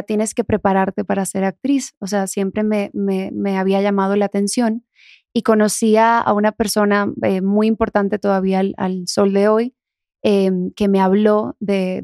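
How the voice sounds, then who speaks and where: A young adult woman from the Dominican Republic with a softened Dominican accent, talking casually as an interview guest. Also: mid-range voice, no echo, studio quality. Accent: Dominican, softened toward neutral Spanish.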